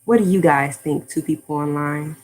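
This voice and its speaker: American woman, low voice, loose rambling livestream chatter. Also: moderate pace, a little mellow. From United States. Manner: rambling, drifts off topic, frequent fillers. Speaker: language-learning YouTuber. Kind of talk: casual livestream chat.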